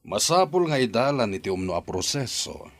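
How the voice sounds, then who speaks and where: Animated Ilocano husband played by an actor. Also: male voice, medium pitch, brisk, close to an everyday voice. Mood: considerate. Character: practical married landlord, a questioning husband. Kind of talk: Ilocano audio drama acting.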